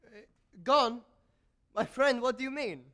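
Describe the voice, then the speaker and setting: A teenage male stage actor playing a grave, envious rival composer, declaiming in a deliberate, dramatic manner. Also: extremely high pitch, a bit bright. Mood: feigned concern. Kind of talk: theatrical stage acting. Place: theatre stage.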